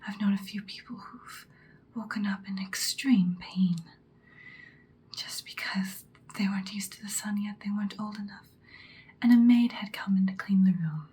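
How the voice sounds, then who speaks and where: A woman playing an ancient, powerful female vampire in an ASMR roleplay, whispering breathily in an intimate, calmly menacing, seductive way. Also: mid-range voice, lightly acted. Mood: calm, instructive. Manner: slow, deliberate, intimate. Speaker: ASMR performer.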